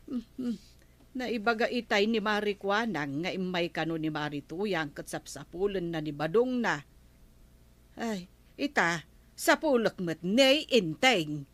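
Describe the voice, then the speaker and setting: A woman playing a worried, chatty villager in a comedy drama, with hesitant, drawn-out interjections. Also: medium pitch, no room sound. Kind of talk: broadcast comedy drama acting.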